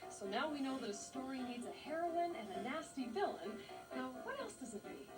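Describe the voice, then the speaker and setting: Woman doing kids show narration, pretty high bouncy voice, explains stuff clearly, loud music under it. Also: very animated, brisk, recorded from a distance. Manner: clear, explanatory, addressing young children. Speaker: children's TV presenter. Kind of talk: children's educational show presenting and scripted narration. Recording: loud music behind, old VHS tape.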